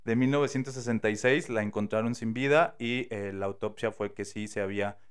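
A man with a Mexican accent, casually telling a true crime story on a podcast, slangy and animated, acting out the quotes. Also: fairly low pitch, a little fast, smooth. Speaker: podcast host.

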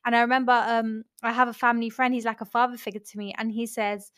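A woman host with a fairly high voice, recorded close and dry. She reflects on her own past openly and at length, stringing her recollections together as she goes. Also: flat delivery, quick pace, a bit bright, no echo. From born in Colombia, raised in London. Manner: open, reflective, talks in long run-on recollections. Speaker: podcast host. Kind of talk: podcast interview, personal sharing.

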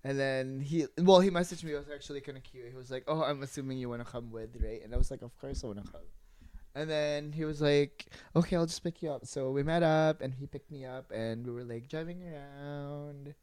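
A podcast co-host telling a personal anecdote in casual, gossipy slang with quoted dialogue, giggly and excitable. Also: medium pitch, a little fast.

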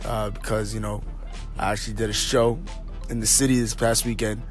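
A man with a slightly hoarse voice hosting a motivational podcast, speaking off the cuff in a halting, rambling, informal way straight to his listeners. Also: on the low side, little pitch movement, faint music behind. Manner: halting, rambling, thinking aloud; informal, addresses listeners directly. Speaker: podcast host. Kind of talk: motivational monologue, casual and unscripted.

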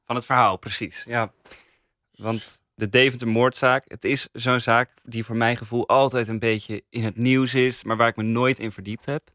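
A man's voice: mid-range voice, fairly even intonation, moderate pace, pushed and bright, no echo, studio quality. A man, chatting casually as a podcast co-host. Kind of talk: casual chat, reviewing podcasts.

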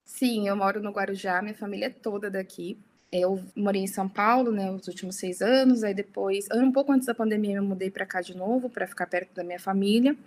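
A woman's voice: mid-range voice, steady tone, brisk, a little mellow. A young woman speaking as a podcast guest, talking casually and often tagging her sentences with little questions. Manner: chatty, many tag questions. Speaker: interview guest.

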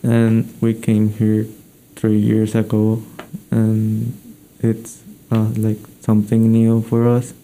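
Teen boy from Mexico, halting, very dark monotone. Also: lowish voice, slight background noise, poor audio. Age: teens. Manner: halting, simple phrasing. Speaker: student interviewee.

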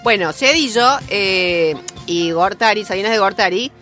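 Argentine woman radio host, bright tense voice, jokey, faint music under. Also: medium pitch, lively intonation, measured pace. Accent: Rioplatense Argentine. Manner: playful, laughing, wanders off topic. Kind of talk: joking radio chat.

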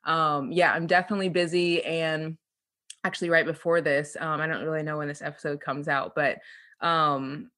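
A young woman from Central Illinois speaks as a podcast guest. Her tone is bright and forward, and her pitch sits on the low side for a woman. She laughs a lot and fills her sentences with "like" and "um.". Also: level tone, moderate pace. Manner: giggly, heavy use of "like" and "um". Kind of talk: casual interview chat.

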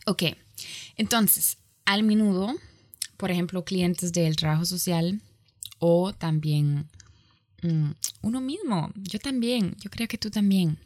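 A woman gives a lecture in a language she did not grow up with, speaking slowly and often stopping to correct herself. Her voice sits a little low, and the recording is dry and close. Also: a little mellow, dry recording. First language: not Spanish. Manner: halting, self-correcting, with frequent pauses. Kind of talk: online lecture.

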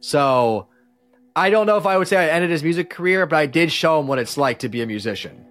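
A man's voice: a little high, lively intonation, fairly quick, a bit bright. Slangy, rambling man over background music. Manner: rambling, slangy, frequent false starts. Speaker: podcast guest, musician. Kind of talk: casual chat, telling stories about band life.

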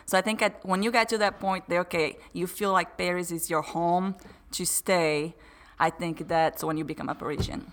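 A woman's voice: fairly low pitch, fairly even intonation, moderate pace. A woman from Brazil with a Brazilian Portuguese accent, a podcast guest in casual chat, laughing often as she talks.

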